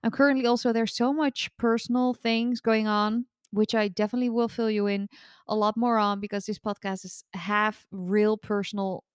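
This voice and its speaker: A woman's voice: mid-range voice, fairly even intonation, moderate pace, clean tone, no echo, studio quality. A nasal-voiced woman, hosting her own podcast in a chatty, rambling way with many restarts. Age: 30s. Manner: chatty, rambling with frequent restarts and self-corrections. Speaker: podcast host and recovery coach. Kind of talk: casual solo podcast chat.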